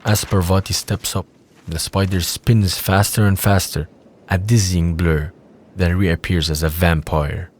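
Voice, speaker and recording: A man's voice: low-pitched, fairly even intonation, unhurried, a little hiss or hum. A man reading scripted scene narration for an audio drama.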